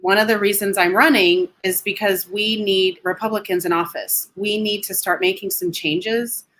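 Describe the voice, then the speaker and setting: A woman's voice: mid-range voice, steady tone, normal speed, a bit bright. A young woman from Colorado, a political candidate answering interview questions earnestly over a video call. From Colorado, USA. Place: home room. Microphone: webcam on a video call.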